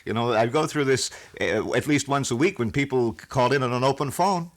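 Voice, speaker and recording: A middle-aged man talking live on a local radio call-in show in a folksy, long-winded way with halting explanations. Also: mid-range voice. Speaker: radio talk show host being interviewed. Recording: 1970s local radio broadcast.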